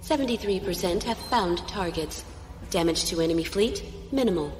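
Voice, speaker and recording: A woman's voice: mid-range voice, lively intonation, normal speed, natural voice. A woman playing a dutiful, unemotional ship's computer aide in a science fiction audio drama, formal and clipped. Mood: impassive. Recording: long reverb, faint music.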